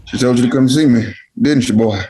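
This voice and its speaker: A man acting a cranky, stubborn old family elder in a drama reading. He grumbles in a folksy, salty-tongued way, at a medium pitch for a man. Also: brisk, dark tone, slightly rough, natural voice, low-quality recording. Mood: grave, wary. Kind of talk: acted table read.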